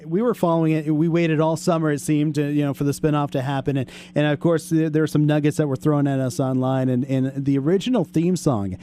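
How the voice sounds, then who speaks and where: A slightly high, mellow American male voice moves quickly through chatty, rambling interview questions. There is faint noise and hum behind him. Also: steady tone. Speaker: interviewer, show host. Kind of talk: casual interview.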